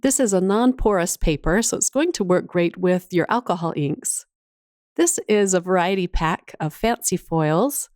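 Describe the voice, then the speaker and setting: Female craft-tutorial host, lowish voice slightly off from a cold, casual and enthusiastic, very dry voice-over sound. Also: moderate pace, darkish tone, no room sound.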